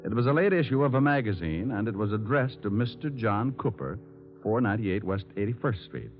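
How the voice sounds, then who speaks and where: Cocky american private eye, dry wisecracks, very animated delivery, dull 40s radio sound. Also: male voice, on the low side, fairly quick. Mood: cocky narration. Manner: dry, sardonic, slangy. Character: wisecracking hard-boiled male private detective, cool and cocky. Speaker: lead actor and narrator. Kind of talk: 1940s radio detective acting and narration. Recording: muffled vintage radio, faint music.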